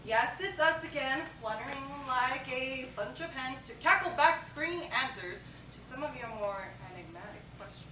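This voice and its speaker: Woman acting high, chirpy flirt, echoing stage. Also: lively intonation, moderate pace, few pauses, pushed and bright. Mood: perky, flirty. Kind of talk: comic staged reading. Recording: roomy, distant theatre recording, noise.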